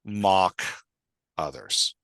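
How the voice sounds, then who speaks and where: A man's voice: low-pitched, extremely slow, a bit bright, clear, resonant tone, no echo, studio quality. A man talking conversationally and emphatically as a host and coach, giving advice with acted-out examples. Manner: emphatic, acts out example phrases.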